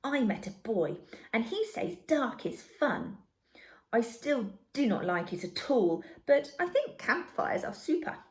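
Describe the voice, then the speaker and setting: A little baby barn owl boy from a storybook, acted out by a woman, earnest and plaintive. The pitch is raised and childlike, swooping in a lively way, with some room echo around it. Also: measured pace. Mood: eager, earnest.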